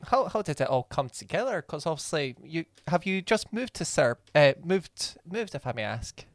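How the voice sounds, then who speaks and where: A man's voice: on the high side, sing-song, normal speed, no echo. Polite hesitant male podcast co-host. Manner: polite, hesitant, repeats words. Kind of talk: interview questions.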